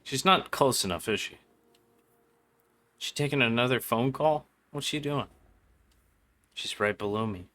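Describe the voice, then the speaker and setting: A man's voice: mid-range voice, measured pace, long pauses, a bit bright. A man doing casual live gameplay commentary as a streamer, muttering to himself and his chat in slangy, sweary language. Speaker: game livestreamer.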